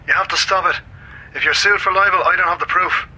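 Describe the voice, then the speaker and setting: Man acting male informant, radio drama, anxious, urgent, very bright tense voice, faint noise. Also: sits high, steady tone, a little fast, rough recording. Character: anxious, urgent male news source on telephone. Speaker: actor in a radio play.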